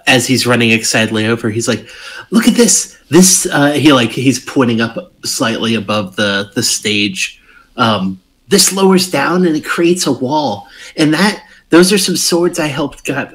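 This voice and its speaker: A man with an average-pitched voice plays his character in a tabletop roleplay. He talks eagerly and often stops on fillers. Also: expressive, unhurried, a bit bright, low-quality recording. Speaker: player. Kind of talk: casual roleplay, playing a character.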